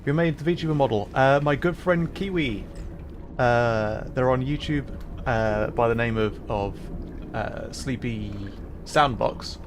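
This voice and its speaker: A man's voice: mid-range voice, unhurried, slight background noise. A man giving chatty, casual commentary while streaming a game, laughing and humming along. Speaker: VTuber streamer.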